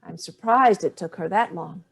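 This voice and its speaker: A woman's voice: fairly low pitch, normal speed, vocal fry, breathy edge. A middle-aged woman playing a sardonic, wounded older mother in a staged play reading, with clipped, cutting delivery. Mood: tense, probing.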